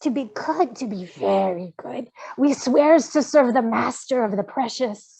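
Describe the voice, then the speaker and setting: Small cunning creature, wretched, husky, dragging pace, whiny hiss, fantasy table read. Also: female voice, on the high side, warm tone, heavily acted. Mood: cowed, eager. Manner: slow, fawning, broken grammar.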